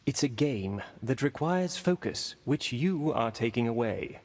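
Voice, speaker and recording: A man's voice: mid-range voice, expressive, unhurried, a little mellow, big-room sound. A performer voicing a dry, aloof man with a cutting manner.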